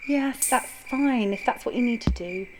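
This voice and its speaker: A woman's voice: on the high side, normal speed, noisy background, natural voice. A woman playing a tired, candid girlfriend, affectionate yet needling, in an intimate audio drama. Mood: conciliatory.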